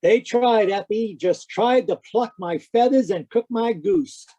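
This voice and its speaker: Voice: medium pitch, measured pace, mellow, slightly rough, muffled recording. A cocky hard-boiled private eye played by an actor from a 1940s radio show. He teases and wisecracks, turning every phrase into glib, florid patter. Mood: breezy, jaunty. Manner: glib, florid wordplay, teasing. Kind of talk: 1940s-50s radio detective acting.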